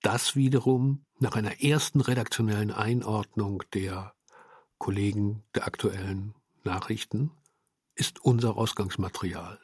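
Husky-voiced man, emphatic and deliberate. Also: lowish voice, fairly even intonation, darkish tone, no echo, clean studio sound. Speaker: radio drama maker. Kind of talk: reflective interview statement about own work.

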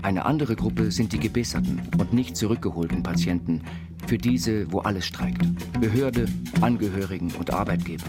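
A man's voice: low-pitched, normal speed. A man narrating literary autobiographical texts in the manner of a reader in an audio play. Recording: voice over prominent guitar music. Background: plucked guitar music.

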